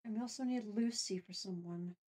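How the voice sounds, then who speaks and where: A woman hosting a livestream, chatting casually and thinking aloud in a deliberate way. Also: medium pitch, warm tone. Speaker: stream host.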